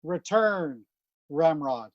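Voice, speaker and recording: A woman calling out military drill commands as a drill caller, in clipped phrases with long pauses between them. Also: sits low, animated, dry recording, muffled recording.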